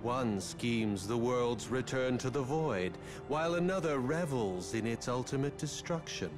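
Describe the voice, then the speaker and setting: An actor playing a haughty, scheming villain in a video game, grandiose and taunting. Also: male voice, mid-range voice, expressive, unhurried, over music, close to an everyday voice. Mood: grandiose menace. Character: haughty, scheming male villain returned from the afterworld.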